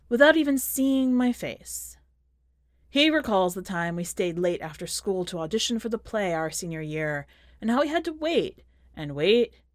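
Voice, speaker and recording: A woman reading a first-person short story in a measured, expressive audiobook style. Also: mid-range voice, no echo, clean studio sound. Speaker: podcast host and fiction reader.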